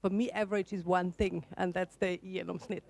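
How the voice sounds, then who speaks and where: A woman in the audience makes a self-deprecating comment about knowing little about data. She speaks in an animated way. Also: lowish voice, a little fast, vocal fry, no echo. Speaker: audience member.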